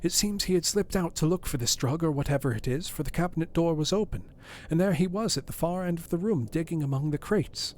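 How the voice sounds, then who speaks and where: A man voicing a frightened, loyal old male butler who pleads in rustic phrasing, raised in pitch above his own voice. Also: a little fast, few pauses, a little hiss or hum, natural voice.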